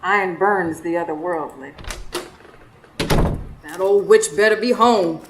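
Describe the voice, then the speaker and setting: A woman's voice: fairly low pitch, measured pace, natural voice. A woman playing a sassy, superstitious Southern woman in a live radio drama, narrating her own confrontation dramatically. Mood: indignant, determined. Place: studio before live audience. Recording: roomy live stage, faint noise.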